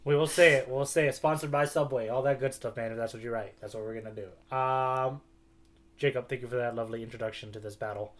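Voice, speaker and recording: A man's voice: medium pitch, fairly even intonation, fast. A man co-hosting a manga podcast, chatting informally and joking along. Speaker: podcast co-host. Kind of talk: casual chat about manga.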